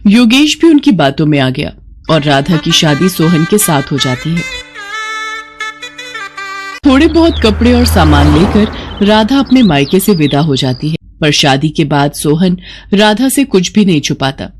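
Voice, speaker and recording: A woman's voice: low voice, sing-song, a little slow, over music. A woman reading scripted narration for a cartoon bedtime story as the storyteller.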